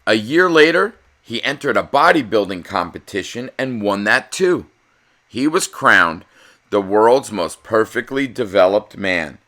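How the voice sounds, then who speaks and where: Bright, animated male storybook reader. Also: on the low side, unhurried. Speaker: read-aloud host reading a children's picture book. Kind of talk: expressive children's storybook narration, with casual chat to viewers.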